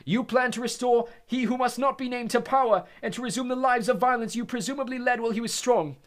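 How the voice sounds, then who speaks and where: A man's voice: very high voice, steady tone, fairly quick, a bit bright, pitched above the performer's own voice. A man voicing a stern, furious older judge who bellows his verdicts. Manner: bellowing, cold and harsh.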